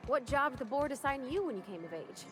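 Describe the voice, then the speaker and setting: A woman's voice: sits high, animated, fast, music in the background. An actress playing a chatty, eager young woman with a folksy, bubbly way of talking.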